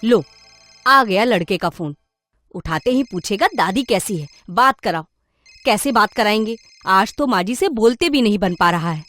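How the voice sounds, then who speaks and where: A woman playing a middle-aged, worried mother in a moral story, with an expressive, fretting manner. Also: medium pitch, normal speed, soft background music, close to an everyday voice. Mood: fretful. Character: fretful middle-aged mother, worried about her son.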